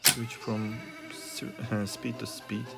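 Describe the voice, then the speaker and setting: A man giving an unscripted troubleshooting demonstration as a hobbyist repairer, speaking haltingly with frequent pauses and hesitations. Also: fairly low pitch, little pitch movement, gravelly, loud music behind the voice. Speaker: hobbyist repairer asking viewers for help. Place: small indoor workspace at a repair bench.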